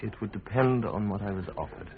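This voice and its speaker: A man's voice: lowish voice, brisk, lightly acted. An actor playing an ambitious, ingratiating young man in a Tudor radio drama, hesitant and eager. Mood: nervous, cautious. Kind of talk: British radio drama acting. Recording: tinny narrow-band radio recording.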